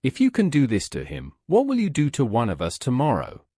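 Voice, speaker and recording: A man's voice: mid-range voice, wildly swooping pitch, fairly quick, warm tone, dry recording, clean studio sound. Man voicing an indignant storybook forest animal. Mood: accusing.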